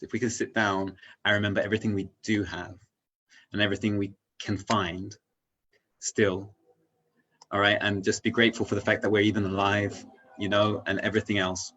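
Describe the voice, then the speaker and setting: Low-voiced man, muffled sound, earnest and rambling. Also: level tone, a little fast, fairly bright tone. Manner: earnest, rambling, philosophical, often checking in with "you know". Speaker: interview guest. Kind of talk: casual reflective conversation.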